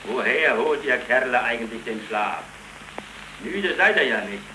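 A man's voice: mid-range voice, expressive, measured pace, sharp tone. An actor playing a stern, pious, indignant middle-aged working-class father, preachy and declamatory, in a 1930s German radio play on an echoey, noisy recording. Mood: brisk, reproachful.

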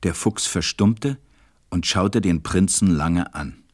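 Deep-voiced male storybook narrator. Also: slow pace, darkish tone.

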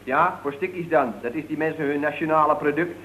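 A man's voice: on the high side, brisk, a bit bright, lightly acted. An actor playing a cheeky, knowing male junior with a matter-of-fact, slangy way of talking, in late 1960s radio comedy. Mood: cheeky, explaining. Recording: thin, phone-like vintage radio recording.

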